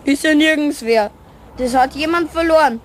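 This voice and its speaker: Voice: sits high, moderate pace, slight background noise, natural voice. A young performer playing a curious, bossy schoolboy in a children's radio play, speaking plainly and cheekily with a Bavarian accent. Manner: plain-spoken, cheeky, boyish.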